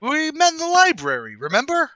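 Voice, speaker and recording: Man acting chummy old acquaintance, familiar, probing, high bright voice, dry sound, radio play. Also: wildly swooping pitch, brisk, dry recording, full character voice. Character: smooth, chummy male old acquaintance, probing and falsely friendly. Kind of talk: radio play table read.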